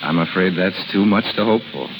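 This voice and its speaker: An actor playing a confident, flirtatious American bachelor in a 1930s radio drama, with breezy, teasing delivery. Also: male voice, deep voice, brisk, natural voice, rough recording. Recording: 1930s radio broadcast with music behind.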